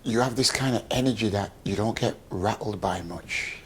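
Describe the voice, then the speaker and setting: Low-voiced male interviewer. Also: moderate pace, a bit bright, breathy edge. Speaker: interviewer, local TV host. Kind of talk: friendly interview questions.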